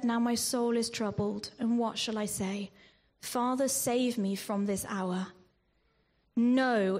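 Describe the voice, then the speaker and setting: A woman preaching a sermon in a measured way, with ums and restarts, sometimes dropping to a whisper on scripture. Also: medium pitch, gravelly, a little mellow. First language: not Greek. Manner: slow, measured delivery with frequent ums and restarts.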